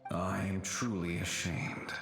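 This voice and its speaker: A man voicing the gentle, melancholy ghost of an ancient warrior in a fantasy video game, formal and wistful. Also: low voice, little pitch movement, slow, vocal fry, heavily acted. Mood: sorrowful. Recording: strong echo, faint game music.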